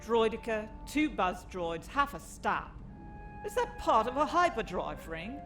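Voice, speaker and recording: An actress playing a stern, brusque starship captain in an audio comic drama, curt, scornful and commanding. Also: female voice, on the high side, sing-song, a little slow, fairly bright tone, soft background music.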